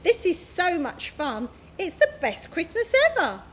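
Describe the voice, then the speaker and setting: A woman's voice: very high voice, sing-song, unhurried, slight background noise, voice pushed up, full character voice, low-quality recording. A woman doing a bratty little girl. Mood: excited. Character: spoiled, blunt little sister girl.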